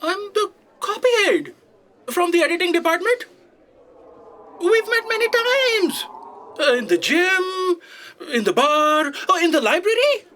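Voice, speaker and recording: An awkward, long-suffering copy editor, voiced by a woman in a sci-fi comedy. Her voice is high and moves up and down a lot, hesitant yet deadpan. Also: a little slow, pauses often, slight background noise, heavily acted. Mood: awkward, exasperated. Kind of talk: comedic sci-fi audio drama acting.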